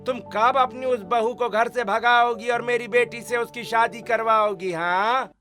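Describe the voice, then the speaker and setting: A man's voice: very high-pitched, steady tone, measured pace, sharp tone, soft background music, heavily acted. An actor playing an impatient, scheming wealthy father in a cartoon family drama. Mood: demanding. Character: greedy scheming rich father, impatient, male.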